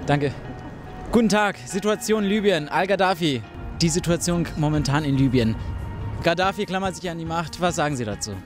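A man's voice: on the high side, moderate pace, music in the background. A young man with a German accent, a show host asking passers-by questions on a city street with a handheld mic. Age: young adult. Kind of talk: street vox-pop interviewing. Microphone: handheld mic with large foam cover.

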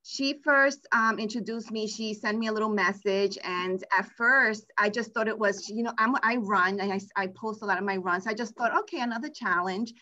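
A woman in her forties with a New York accent, talking on a video call in an animated, devout way. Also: mid-range voice, normal speed, hardly any pauses, highs cut off. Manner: animated, devout, exclaiming praise. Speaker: guest participant. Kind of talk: casual video call chat. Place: room indoors. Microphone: video call webcam.

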